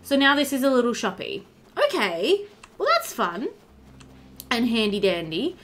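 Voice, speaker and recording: A woman's voice: fairly high pitch, sing-song, a little slow, fairly bright tone. A woman in her thirties giving casual, chatty let's play commentary, thinking aloud and talking to the game characters. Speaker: gaming video host. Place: indoor room at home. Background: game music.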